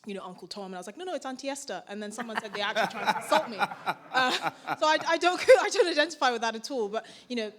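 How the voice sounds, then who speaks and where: A young woman asking a question from the audience at a conference, candid and quick. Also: fairly high pitch, animated. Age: young adult.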